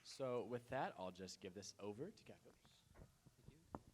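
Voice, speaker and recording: A man's voice: on the low side, animated, slow pace, background noise. A man giving a casual, self-deprecating introduction into a handheld microphone in a convention room. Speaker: radio manager and DJ.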